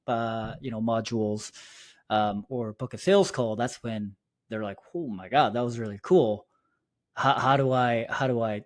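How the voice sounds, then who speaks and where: An upbeat young man from South Korea, raised in Canada, talking as a founder in a relaxed interview. He speaks at a middle pitch, often restarting and filling with ums. His voice is recorded close and dry, with no room sound. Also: no echo. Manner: frequent fillers and self-restarts, upbeat. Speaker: startup founder, podcast guest. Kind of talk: casual interview talk.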